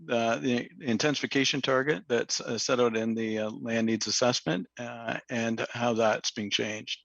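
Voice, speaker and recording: Hoarse man with gravelly fry. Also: mid-range voice, fairly bright tone, no echo. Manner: halting, many fillers and restarts. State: slightly hoarse. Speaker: planning consultant presenting to council. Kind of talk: public meeting presentation. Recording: dull, dry lo-fi meeting audio.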